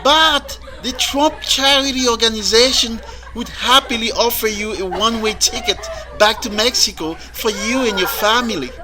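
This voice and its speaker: A man's voice: very high-pitched, normal speed, crowd noise, poor audio. A man voicing a dismissive woman receptionist in a stand-up bit. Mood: mocking.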